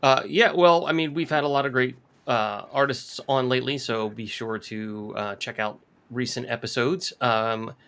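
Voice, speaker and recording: A man's voice: mid-range voice, fairly even intonation, normal speed, creaky, hardly any pauses, a bit bright, smooth. A man from Delaware with an American accent, chatting casually as a podcast co-host. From Delaware, United States. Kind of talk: casual chat.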